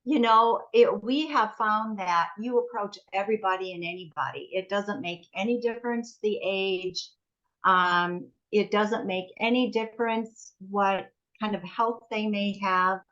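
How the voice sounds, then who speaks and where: A woman's voice: medium pitch, measured pace, fairly bright tone. A woman in her sixties, a guest speaking earnestly and gratefully about teamwork. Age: 60s. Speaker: guest business partner. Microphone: video call. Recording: muffled video call audio.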